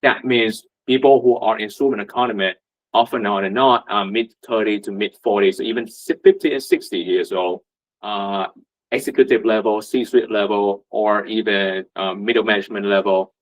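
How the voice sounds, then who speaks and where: A man's voice: on the low side, fairly even intonation, normal speed. A man from Vietnam, giving a conversational talk at a meetup as a union representative, full of rhetorical questions and fillers. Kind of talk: presentation at a meetup. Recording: muffled lo-fi recording.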